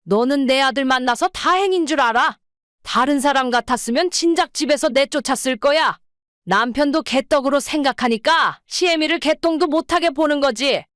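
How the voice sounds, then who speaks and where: A woman's voice: high-pitched, moderate pace, fairly bright tone, dry recording, studio quality, voice pushed up. A woman voicing a scornful older mother-in-law who scolds with accusing questions in a dramatized story. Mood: angry scolding.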